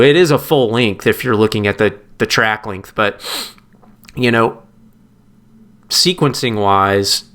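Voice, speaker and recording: Male musician guest, smooth clear voice, reflective and halting, casual interview delivery. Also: mid-range voice, fairly bright tone.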